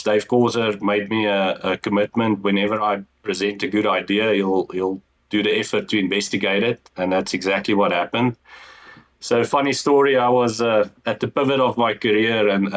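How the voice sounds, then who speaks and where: Low-voiced Afrikaans-accented man. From South Africa. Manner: earnest storytelling, frequent ums and restarts. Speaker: guest, business co-founder. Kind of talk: casual interview talk.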